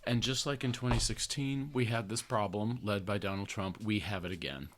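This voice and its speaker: An older American man hosting a podcast, reading his own article aloud in an earnest, deliberate way with conversational asides. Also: fairly low pitch, fairly bright tone. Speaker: podcast host, therapist and activist writer.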